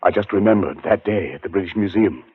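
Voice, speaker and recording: A man acting in a 1940s radio drama as a haunted, educated gentleman, telling his story in a formal, deliberate, dramatic way. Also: sits low, husky. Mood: agitated, urgent. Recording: muffled 1940s radio recording, music behind.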